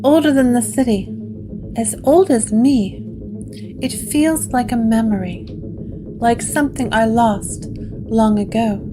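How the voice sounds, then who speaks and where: A woman's voice: fairly high pitch, takes it slowly, pauses often. A woman doing a fragile, haunted ancient woman. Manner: whispery, faltering, fragile. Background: guitar music.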